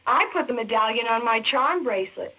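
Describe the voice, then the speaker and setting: A woman's voice: fairly high pitch, lively intonation, a little fast, bright, forceful tone. A woman giving a scripted listener testimonial in a 1940s radio commercial. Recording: dull old radio recording.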